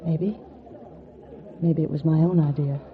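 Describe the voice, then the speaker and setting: Teasing nightclub flower girl, lowish voice, knowing manner, 1940s radio drama, dull sound. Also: fairly even intonation, unhurried, a faint crowd, a little hiss or hum. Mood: coaxing, resigned. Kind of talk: 1940s radio noir acting. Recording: dull vintage radio recording.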